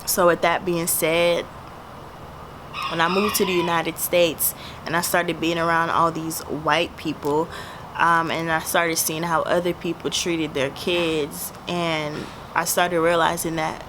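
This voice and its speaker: A young woman in her twenties with a Jamaican accent talks straight to camera, casual and candid, with plenty of swearing. Her voice sits a little low. Clear background noise and passing traffic run under the recording. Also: flat delivery, unhurried. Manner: casual, blunt and profane. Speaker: vlogger. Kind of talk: candid personal storytelling to camera.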